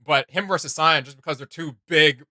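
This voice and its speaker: A man's voice: a little high, a little fast, bright, forceful tone, no echo, studio quality. A man hosting a reaction video in casual chat, joking and rambling with lots of filler words.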